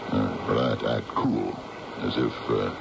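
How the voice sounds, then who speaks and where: An actor playing an older, folksy uncle in a radio drama, with a gravelly voice and a halting, reflective manner. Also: male voice, very deep voice, exaggerated voice. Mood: confiding, concerned. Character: calm, folksy older uncle who sails. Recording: dull, noisy vintage radio recording.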